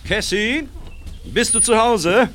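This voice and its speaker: Lively, higher-voiced man, acted. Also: slow, background noise. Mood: teasing, puzzled. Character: teasing, good-natured Irish farmhand cousin. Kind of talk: German audio drama acting.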